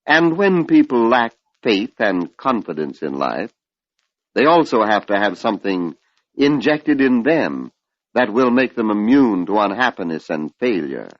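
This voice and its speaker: An older man giving a measured, oratorical spiritual lecture on an old radio broadcast, posing rhetorical questions. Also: fairly low pitch, big pitch swings, no echo. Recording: dull lo-fi vintage radio recording.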